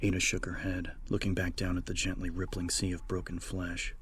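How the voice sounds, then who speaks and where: A man's voice: deep voice, completely flat delivery, brisk, fairly bright tone, slight background noise. A man reading scripted horror fiction as a dramatic audiobook narrator.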